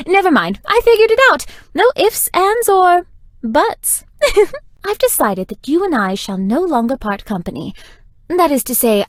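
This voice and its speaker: A woman's voice: a little high, no room sound, clean studio sound. A woman voicing a flirtatious, playful, theatrical vampire in a video game, teasing and melodramatic. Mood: teasing, smitten. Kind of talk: scripted video game character dialogue.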